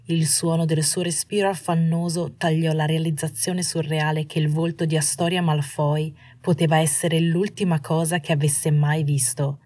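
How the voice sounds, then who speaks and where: Low, husky woman narrating fiction. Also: level tone, moderate pace, few pauses, warm tone, dry recording. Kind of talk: scripted audiobook narration of fiction.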